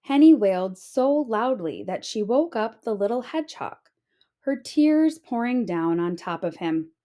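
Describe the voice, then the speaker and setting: A woman narrates a children's picture book as a storyteller. Her voice is on the high side and very animated in pitch, and she reads at a slow, measured pace. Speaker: storyteller reading aloud.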